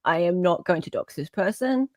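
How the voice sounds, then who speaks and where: A woman's voice: on the low side, lively intonation, normal speed, a little mellow, no echo, clean studio sound. A woman with an Australian accent hosting a casual live chat, emphatic and given to repeating phrases for effect. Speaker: livestream host.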